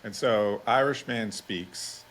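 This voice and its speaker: A man with a low voice hosts a podcast interview. He introduces and questions in a conversational style. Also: slow pace, a bit bright, a little hiss or hum, poor audio.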